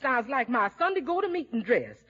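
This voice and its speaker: A woman's voice: sits high, big pitch swings, a little fast, fairly bright tone, husky, exaggerated voice. A woman playing a blunt, wry household cook with folksy speech in 1940s radio comedy style. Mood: indignant. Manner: folksy, nonstandard grammar. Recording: dull 1940s radio broadcast recording.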